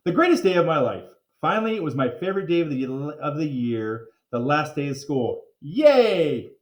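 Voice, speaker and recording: A man reading a children's story aloud in a playful, expressive way with theatrical emphasis. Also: fairly high pitch, moderate pace. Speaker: reader of children's book. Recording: roomy, echoing room.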